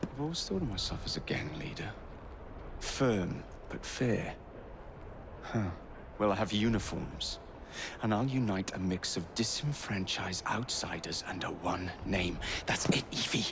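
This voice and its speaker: A man's voice: sits low, measured pace, gravelly, background noise. An actor playing a cocky, impulsive assassin in his twenties in a video game, with an English accent and a brash, teasing manner. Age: 20s. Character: cocky, impulsive young male assassin twin, Jacob Frye. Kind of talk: video game cutscene acting. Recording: faint background ambience.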